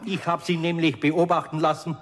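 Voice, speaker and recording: A male actor plays a gruff but good-natured older Bavarian carpenter. His voice sits a little high for a man, and he talks in a blunt, folksy way, in the manner of a 1970s radio play. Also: flat delivery, a little fast, fairly bright tone, lightly acted. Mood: firm.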